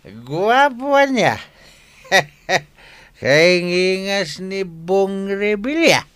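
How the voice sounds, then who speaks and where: An actor playing a boastful, hard-drinking old father in a radio comedy drama, halting and mumbling with chuckles and filler sounds. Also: high voice, sing-song, fairly bright tone, a little hiss or hum, heavily acted. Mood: boastful, merry. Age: older.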